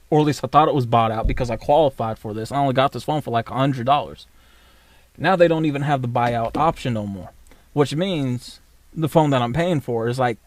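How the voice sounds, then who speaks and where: A man's voice: mid-range voice, no echo. A man from the United States ranting in a casual vlog, blunt and profane, rambling with many fillers. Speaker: vlogger.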